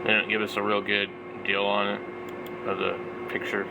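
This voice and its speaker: A man's voice: on the low side, completely flat delivery, moderate pace, gravelly, heavy background noise. A man in his forties giving casual, off-the-cuff product commentary, rambling and thinking aloud. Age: 40s. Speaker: vlogger, radio hobbyist.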